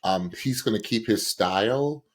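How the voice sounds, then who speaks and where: A man's voice: lowish voice, moderate pace, husky. A man co-hosting a podcast, giving casual reaction commentary in a gushing way and repeating words for emphasis. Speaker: podcast co-host.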